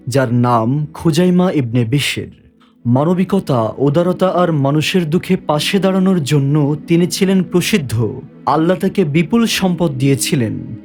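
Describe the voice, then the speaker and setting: A male narrator reads a scripted story. His voice is a little higher than most men's, and music runs behind the narration. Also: darkish tone. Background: background music.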